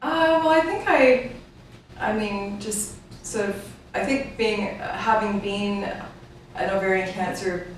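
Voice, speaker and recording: A middle-aged woman giving personal testimony in an interview, earnest, measured and reflective. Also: mid-range voice. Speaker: guest, brand ambassador. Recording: echoey room, distant mic, noisy.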